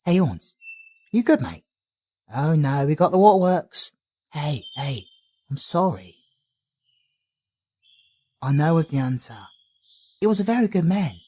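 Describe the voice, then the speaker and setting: A man's voice: on the high side, sing-song, measured pace, long pauses, warm tone, breathy edge, full character voice. A young man with a British accent, voicing a friendly, chatty male traveler companion who rambles and uses matey slang in an audio roleplay. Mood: reassuring.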